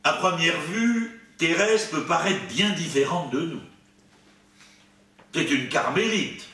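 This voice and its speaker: A man gives a spiritual talk as a priest at a retreat, in a somewhat high-set voice. He wanders into asides between quotations. The microphone is at a distance, so the room echoes heavily around his words. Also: animated, slow, sharp tone. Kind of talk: spiritual conference, commenting and reading out quotations. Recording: echoey room, distant mic, faint noise.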